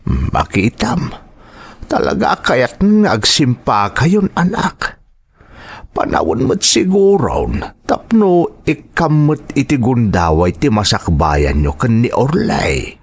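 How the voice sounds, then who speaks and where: A man playing a gentle, concerned elderly father in an audio drama, with a Filipino accent. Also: mid-range voice, wildly swooping pitch, slow pace, gravelly, breathy edge, no room sound, full character voice. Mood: tender, resigned. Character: gentle, concerned elderly father advising his grown daughter.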